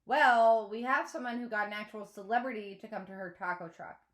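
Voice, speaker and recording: A woman's voice: mid-range voice, a little fast, reverberant, natural voice. Storybook schoolboy read by a woman: loyal, helpful, pointed, teasing.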